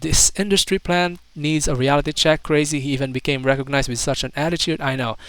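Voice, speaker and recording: A man with a fairly high, smooth and clear voice gives casual online commentary. He reads comments aloud and adds incredulous, mocking asides in a hesitant way. The sound is close and dry. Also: fairly even intonation, brisk, a bit bright, dry recording. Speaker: YouTube commentator.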